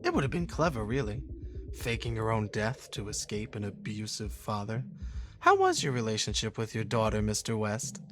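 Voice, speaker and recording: A man playing a smug, probing interrogator in a scripted game scene, polished and deliberate, with a condescending, taunting delivery. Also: lowish voice, big pitch swings, fairly bright tone, soft background music. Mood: sly, taunting. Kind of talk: scripted game cutscene acting.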